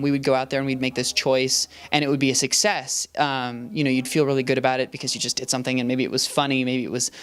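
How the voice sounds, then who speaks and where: A man's voice: mid-range voice, clear, resonant tone. A young man, a student actor and assistant director, answering interview questions thoughtfully with many fillers and restarts.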